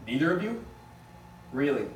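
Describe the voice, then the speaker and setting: A man playing a wry, rattled young rich businessman in a table read, with a halting, matter-of-fact delivery. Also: a little high, big pitch swings. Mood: uneasy, explaining. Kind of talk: amateur script table read. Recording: echoey room, distant mic, faint noise.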